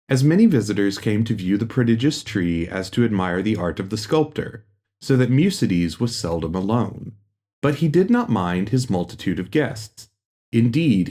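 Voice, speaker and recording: A man narrating a gothic horror audiobook in a measured, solemn and formal storytelling manner. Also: on the low side, dark tone. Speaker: audiobook reader. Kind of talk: scripted literary narration of a gothic horror tale.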